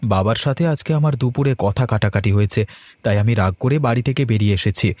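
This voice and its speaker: A man playing a helpful, concerned young villager in a cartoon, earnest and gently chiding. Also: lowish voice, fairly quick, lightly acted.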